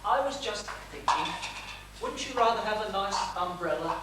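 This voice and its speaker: A man's voice: high-pitched, animated, normal speed. A man playing a fussy but tender middle-aged father in a stage comedy, with courteous, florid, projected delivery. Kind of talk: live comedic stage play acting. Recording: echoey theatre stage, distant mic, noisy.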